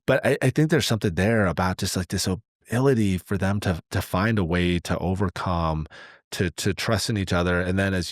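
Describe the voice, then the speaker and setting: A man talking casually as a podcast host, in a halting, earnest, rambling way as he thinks aloud. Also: low-pitched, dry recording, studio quality.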